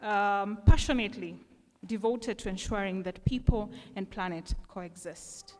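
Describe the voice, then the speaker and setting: A woman's voice: medium pitch, measured pace, in a large hall. A woman moderating a panel discussion, introducing guests enthusiastically.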